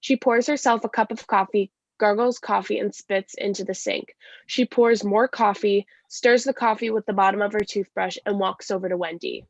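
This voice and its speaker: A woman's voice: mid-range voice, steady tone, normal speed, dry recording, muffled recording. A woman giving scripted narration, reading stage directions at a play reading.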